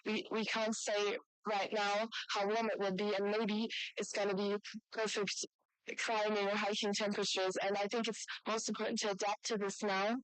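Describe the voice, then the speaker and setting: A woman's voice: medium pitch, flat delivery, moderate pace, clean tone, dry recording, rough recording. A woman speaking as a participant in a formal youth parliament debate.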